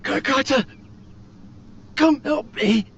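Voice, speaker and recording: An actor voicing a lonely, ailing male scientist in a video game, weary and resigned, talking to himself in halting phrases. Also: extremely high pitch, a bit bright, exaggerated voice. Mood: pained, panicked.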